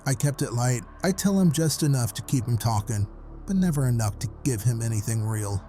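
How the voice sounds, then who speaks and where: A man's voice: medium pitch, lively intonation, a little fast, mellow, soft background music, close to an everyday voice. An actor playing a folksy, wry hospital janitor who calls in and tells his story matter-of-factly in a horror audio drama. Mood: brooding, storytelling. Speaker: caller.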